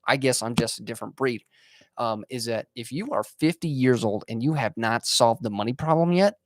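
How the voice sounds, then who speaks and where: A young man in his twenties hosts a solo podcast, talking earnestly to his listeners. He speaks in a motivational way, often pausing on fillers and restarting his sentences, and his voice sits at an ordinary middle pitch. The recording is dry and close, with no room sound. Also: expressive, no room sound. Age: 20s. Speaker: podcast host. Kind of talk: casual monologue.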